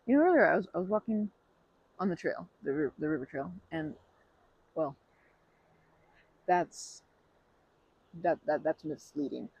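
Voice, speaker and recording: A woman doing an ASMR roleplay as a polite stranger, talking softly with hesitant, rambling chatter and many restarts, sometimes dropping to a whisper. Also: low voice, expressive, a little slow, long pauses, breathy edge, muffled recording. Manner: soft-spoken, drops to whispers.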